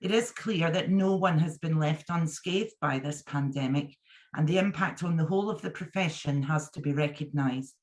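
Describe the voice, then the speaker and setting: A woman who is a union deputy general secretary, giving a measured, formal conference address read from a script. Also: low-pitched, darkish tone.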